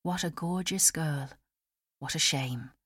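A woman voicing a big, warm-hearted middle-aged male chauffeur, cheery, colloquial and respectful, in an audiobook. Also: sits low, slow, breathy edge, no room sound, clean studio sound, full character voice. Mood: wistful.

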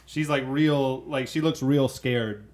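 Podcast co-host: man, middle-pitched voice, informal conversational film commentary. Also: slow pace, a little mellow. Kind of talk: casual chat, film commentary.

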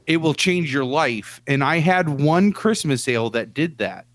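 A man's voice: fairly high pitch, animated, measured pace, a bit bright, no echo. A man co-hosting a podcast in casual chat, emphatic and loose, swearing casually and filling with "like" and "you know". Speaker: podcast co-host.

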